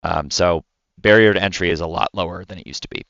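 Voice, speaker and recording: A man with a deep, bright voice co-hosts a casual gaming podcast. He explains things with enthusiasm, and his delivery is crisp and clean, recorded close and dry. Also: fast, no echo, muffled recording. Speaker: podcast co-host. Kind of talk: casual podcast chat about video games.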